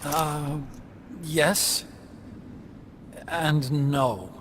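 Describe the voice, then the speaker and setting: An actor playing a talkative, earnest male weapons scientist in a video game cutscene, explaining things intently with dramatic pauses. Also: fairly high pitch, fairly bright tone, slight background noise. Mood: wary, curious. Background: music.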